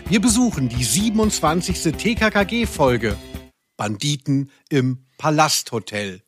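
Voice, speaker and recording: A man's voice: a little high, lively intonation, a little slow, soft background music. A man co-hosting a casual, jokey podcast, talking in a wordy, self-mocking, rambling way. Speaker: podcast co-host. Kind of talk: casual, jokey podcast chat about audio dramas.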